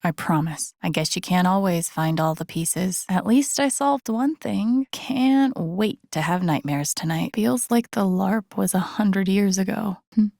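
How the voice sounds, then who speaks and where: Husky, troubled young woman, wry. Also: medium pitch, a little slow, hardly any pauses, mellow, dry recording, studio quality. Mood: weary, wry. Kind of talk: naturalistic video game voice acting, inner monologue.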